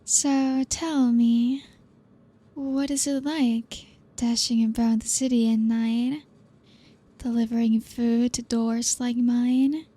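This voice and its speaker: A young woman voicing a teasing, possessive aristocratic vampire in an ASMR roleplay, speaking slowly and softly with hushed whispers. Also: a little high, little pitch movement. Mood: silky teasing.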